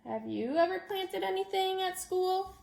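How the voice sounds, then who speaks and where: A woman's voice: extremely high pitch, lively intonation, measured pace, creaky, darkish tone. A woman teacher reading a picture book aloud and chatting informally and directly to young children. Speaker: elementary school teacher. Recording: echoey room.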